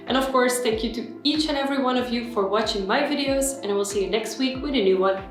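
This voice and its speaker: A woman's voice: fairly high pitch, fast. Echoey woman vlogging. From Belgium. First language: Dutch. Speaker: YouTuber. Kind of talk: personal vlog talk to camera. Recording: very echoey room with faint music.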